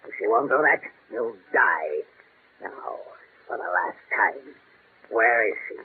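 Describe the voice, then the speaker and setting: A menacing bitter crook, old radio play, tinny lo-fi broadcast w/ hiss. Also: male voice, fairly low pitch, lively intonation, slow pace. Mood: threatening. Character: vengeful scarred male criminal, obsessed and menacing. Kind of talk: 1930s radio drama acting.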